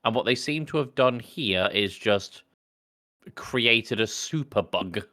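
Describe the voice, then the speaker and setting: Male co-host, low and crisp-edged bright tone, wry, opinionated, casual explainer. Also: expressive, takes it slowly. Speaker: podcast co-host. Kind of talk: casual explanatory film chat.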